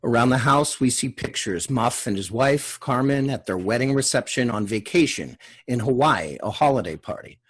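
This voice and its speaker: A man with a husky, medium-pitched voice hosts a screenplay table read. He speaks casually and narrates the action plainly. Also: expressive, normal speed. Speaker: host and stage-direction narrator.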